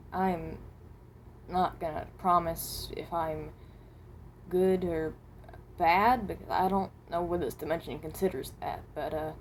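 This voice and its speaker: A woman voicing a reluctant, resigned animatronic rabbit from another dimension in a fan roleplay, speaking haltingly and correcting herself. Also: fairly low pitch, a little mellow, a little hiss or hum. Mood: polite, guarded. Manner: hesitant, halting, self-correcting.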